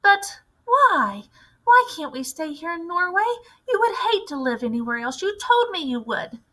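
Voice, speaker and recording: A woman's voice: very high-pitched, big pitch swings, normal speed, sharp tone, voice pushed up, full character voice. A woman voicing an anxious, curious young boy in a storybook read-aloud. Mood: pleading.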